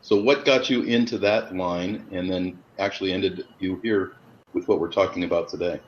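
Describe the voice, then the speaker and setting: A man's voice: deep voice, fairly even intonation, normal speed. A man in his late fifties hosts a casual webinar interview, often repeating words as he starts his questions. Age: 50s. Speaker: webinar host. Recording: dull audio with faint noise.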